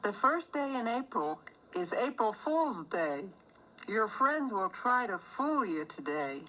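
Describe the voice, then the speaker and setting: A woman reading a rhyming children's storybook aloud, phrasing it deliberately and clearly as scripted narration. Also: medium pitch, big pitch swings, fairly bright tone, poor audio. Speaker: storybook reader.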